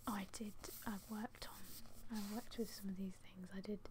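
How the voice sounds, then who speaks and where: Whispering young British woman, soft ASMR. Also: medium pitch, fairly even intonation, moderate pace, a little hiss or hum. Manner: whispery, hushed, hesitant and apologetic. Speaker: ASMR video host. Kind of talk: soft-spoken ASMR, casual chat to viewers.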